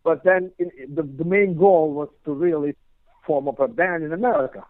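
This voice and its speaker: A man's voice: fairly high pitch, normal speed, no room sound. A man, a guest guitarist chatting in an interview, rambling with lots of "you know" and "like". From Turkey. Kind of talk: casual interview chat. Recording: dry tinny phone line.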